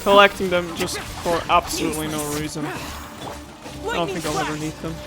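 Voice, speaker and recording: High-voiced young man chatting over a game. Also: moderate pace, over loud music. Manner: rambling, chatty, excitable. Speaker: gaming YouTuber. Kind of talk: casual gameplay commentary.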